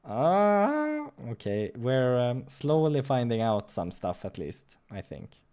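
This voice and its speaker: A man's voice: mid-range voice, big pitch swings, slow pace, warm tone, smooth. A man reading a visual novel aloud during a gaming playthrough, slipping into casual asides and stumbling over lines now and then. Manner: stumbles over read lines and corrects himself.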